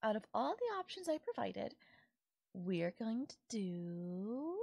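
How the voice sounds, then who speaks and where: A sweetly controlling fairy woman, acted by a voice actress for an intimate audio roleplay. Her voice is husky and close, with a crackle of fry and a very dry sound. She coaxes and teases with doting, possessive affection. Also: a little high, sing-song, takes it slowly, no room sound, exaggerated voice. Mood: delighted, doting. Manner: coaxing, affectionate, softly teasing. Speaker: voice actor. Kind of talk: intimate audio roleplay, ASMR-style acting.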